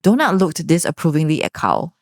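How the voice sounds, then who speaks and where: Low female voice, lively intonation, scripted story narration, soft music behind. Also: fairly quick, dry recording, clean studio sound.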